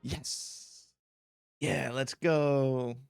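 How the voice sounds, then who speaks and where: A man giving calm, casual commentary as he plays through a video game. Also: medium pitch, drawn-out words, vocal fry, clear, resonant tone, no room sound, studio quality. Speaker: let's play streamer.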